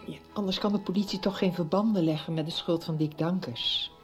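A woman's voice: on the low side, fairly quick, soft background music, close to an everyday voice. A woman playing an upset, blunt middle-aged mother in a radio soap. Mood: blunt, worried. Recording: dull 1990s radio recording.

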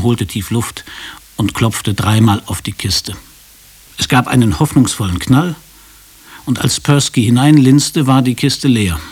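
An actor narrating a comic radio play as a detached storyteller, measured and matter-of-fact. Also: male voice, lowish voice, a little mellow, slightly rough. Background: music.

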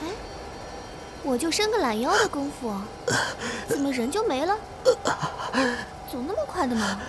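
A woman's voice: high voice, sing-song, moderate pace, background noise. An actress playing a chatty, nonchalant young woman riding the train alone at night in a horror drama. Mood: casual, irked. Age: young adult. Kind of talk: conversational horror drama acting.

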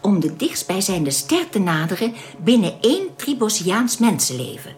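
A woman acting in a radio drama as a calm, welcoming young alien who explains things politely and with composure. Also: mid-range voice, moderate pace, natural voice. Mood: earnest, explanatory.

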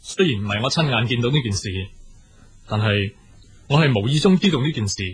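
A man playing a stern schoolteacher in a radio drama, formal and measured, with a Hong Kong Cantonese accent. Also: mid-range voice, expressive, slightly rough.

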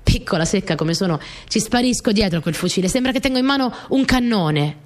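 An actress playing a small, earnest, easily flustered young wartime partisan courier, telling her story in an intimate, expressive radio drama monologue. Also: mid-range voice, brisk, natural voice. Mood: shy, wry.

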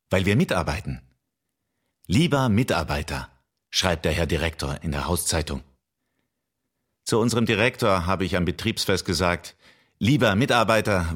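A man reading scripted literary prose for radio in a deadpan manner. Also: sits low, husky.

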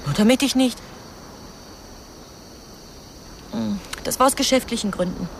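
A woman's voice: a little high, fast talker, vocal fry, slight background noise. An actress playing a sullen, frightened teenage girl in a radio drama, grumbling and evasive. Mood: evasive, uneasy. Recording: faint outdoor ambience with insects.

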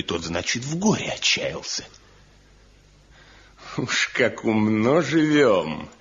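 A man's voice: medium pitch, fairly bright tone. An actor playing a tormented craftsman in a radio drama, sarcastic and volatile, with theatrical, biting irony. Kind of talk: Soviet radio drama acting. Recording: dull, noisy 1970 radio recording.

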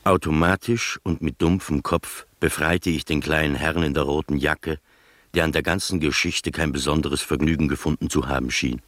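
Gentleman narrator, low voice, measured, literary, bemused, radio drama, close dry studio. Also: fairly even intonation, dry recording. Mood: bemused, recounting. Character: gentleman narrator recounting his strange adventures, bemused, literary.

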